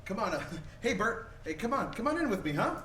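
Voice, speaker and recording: An actor playing an excitable, stammering family man in the style of 1940s radio drama. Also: sits high, fairly quick, exaggerated voice. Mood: giddy, overjoyed. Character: desperate, then joyously exuberant family man. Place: live performance in a church. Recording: roomy, noisy live stage recording.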